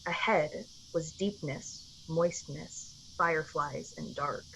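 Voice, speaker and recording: A woman narrating a radio play in a measured, atmospheric, dramatic storytelling style. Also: deep voice, gravelly, fairly bright tone, slight background noise, full character voice, rough recording. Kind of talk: literary radio-play narration in 1940s manner. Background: music.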